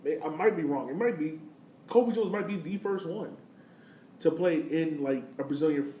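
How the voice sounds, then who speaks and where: A thirty-year-old man with a fairly high voice talks sport casually as a podcast host, full of slang and enthusiasm. He sounds a bit away from the mic in a very roomy space, over faint noise. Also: moderate pace, dark tone, distant mic.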